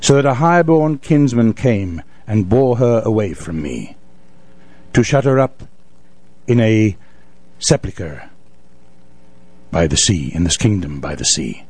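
A man reciting classical poetry theatrically as a wistful, grieving lover, with dramatic, measured storytelling. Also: on the low side, big pitch swings, a little mellow, slightly rough, dry recording, exaggerated voice. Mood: mournful. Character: wistful, grieving male lover narrating a gothic poem.

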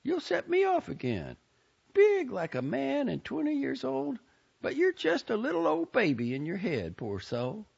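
A man doing a fretful older aunt, high and pinched. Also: huge pitch swings, vocal fry, darkish tone, husky, heavily acted. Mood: scolding. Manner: high, pinched voice with drawn-out scolding lines. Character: fretful older Southern aunt, scolding but loving. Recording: dull lo-fi recording.

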